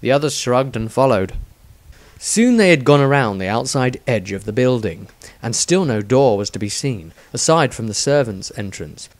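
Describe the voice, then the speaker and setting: A man reading his own fantasy story aloud in a deliberate, dramatic storytelling manner. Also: mid-range voice, expressive, no room sound. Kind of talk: scripted fantasy audiobook narration.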